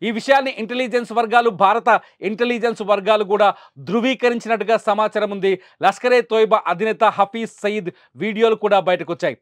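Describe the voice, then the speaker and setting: A male news presenter speaks in a high-pitched voice. He delivers emphatic, rhetorical commentary and repeats phrases to drive points home. The sound is very dry and close. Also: steady tone, fairly quick, no room sound.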